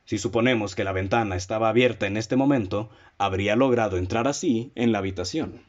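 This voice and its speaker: An actor playing a calm, methodical male detective in a radio drama, measured and lecturing as he lays out his deductions and poses pointed questions. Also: on the low side, dull audio, close to an everyday voice. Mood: explaining.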